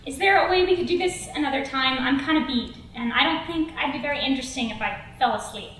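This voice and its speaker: An actress playing a wry young stand-up comedian, speaking in a casual, naturalistic storytelling way. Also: female voice, high-pitched, fast, a bit bright. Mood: casual, friendly. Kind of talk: conversational storytelling, naturalistic film acting. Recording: roomy, echoing, faint background noise.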